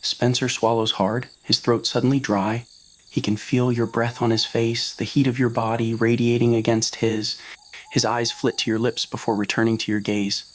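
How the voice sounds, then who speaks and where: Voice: mid-range voice, flat delivery, normal speed. A narrator reading a scripted romantic roleplay story in the second person, voicing the scene as well as the dialogue. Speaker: narrator of fan roleplay audio stories.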